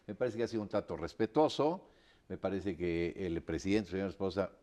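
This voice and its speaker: Deliberate low-voiced panel commentator. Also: male voice, animated, mellow. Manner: deliberate, repeats "me parece" and tags "¿no?". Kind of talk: political analysis on a talk panel.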